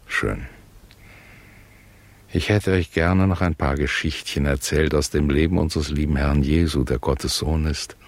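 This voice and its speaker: A man's voice: rumbling low, steady tone, no room sound. A man acting in a German radio play as a solemn, fatherly religion teacher, speaking tenderly and piously to small children. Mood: tender, grave. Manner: slow, tender, pious, doting.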